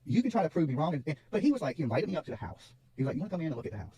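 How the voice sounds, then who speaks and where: Animated, husky man talking fast. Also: a little high, no echo, rough recording. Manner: insistent, repeats himself, seeks agreement. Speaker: online true crime content creator. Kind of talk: casual livestream talk to audience.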